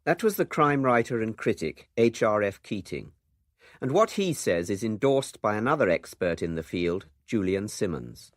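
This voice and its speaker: A man's voice: on the low side, sing-song, moderate pace, no room sound, clean studio sound. A man presenting a radio documentary, reading a scripted narration.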